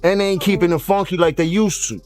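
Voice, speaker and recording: A man's voice: high voice, steady tone, fast, a little mellow, soft background music. A middle-aged American man giving casual, slangy street commentary.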